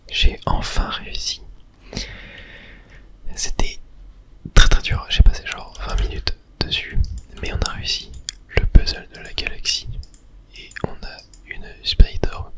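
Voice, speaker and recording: A gamer giving softly whispered ASMR commentary on a video game, with small clicky interjections. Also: unhurried, pauses often. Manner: soft unvoiced whispering with small mouth-sound interjections. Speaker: gaming ASMR creator.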